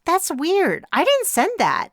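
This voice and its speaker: A woman voicing a hysterical young woman, high and tense. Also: huge pitch swings, slow pace, no room sound, studio quality, full character voice. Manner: shrill, panicky.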